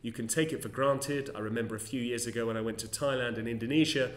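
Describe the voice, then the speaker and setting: A man speaks to camera in a measured, articulate way, his phrasing careful and a little formal. His voice sits at an ordinary middle pitch for a man. The room gives the recording a noticeable echo. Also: steady tone. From England. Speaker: conference interpreter and football commentator. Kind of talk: explanatory solo vlog monologue. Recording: roomy echo.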